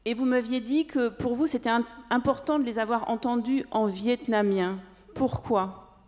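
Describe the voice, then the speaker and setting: Halting woman moderator in a reverberant hall. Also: on the high side, steady tone, darkish tone. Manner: halting questions with many fillers. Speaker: panel moderator. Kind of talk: live panel moderation. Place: theatre stage.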